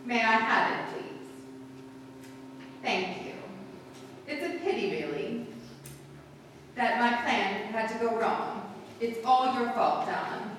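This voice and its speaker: A woman playing a cool, mocking, vengeful wife in a 1940s-style radio drama, arch and deliberate. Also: on the high side, animated, a bit bright. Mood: coolly mocking. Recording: distant, echoey hall, background noise.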